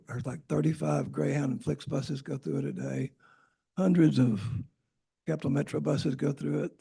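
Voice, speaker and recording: A man with a gravelly, very husky voice walks a meeting through his slides. He talks informally and rambles, often stopping to correct himself. His tone is dark and rough. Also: a little high. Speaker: transit agency presenter. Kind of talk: presentation at a public meeting. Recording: Zoom meeting audio.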